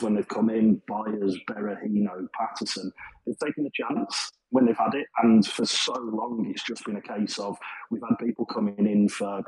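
A man's voice: fairly low pitch, rough recording. A man with a Birmingham accent, calling in to chat about a football match. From Birmingham, England. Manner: chatty, rambling football fan. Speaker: caller. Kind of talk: casual chat.

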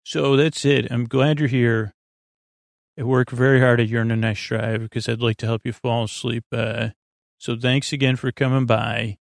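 A man hosting a bedtime podcast, talking in a slow, meandering way full of fillers, tangents and restarts, with a creaky voice. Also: medium pitch, fairly even intonation, dry recording, studio quality. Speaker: podcast host. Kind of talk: rambling bedtime podcast monologue.

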